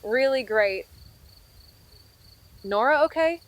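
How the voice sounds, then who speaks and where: A woman's voice: high voice, animated, takes it slowly. Wry, irreverent young woman sleuth. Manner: slangy, wry, casual. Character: Amy, irreverent, impulsive young woman amateur detective. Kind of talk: naturalistic audio drama acting.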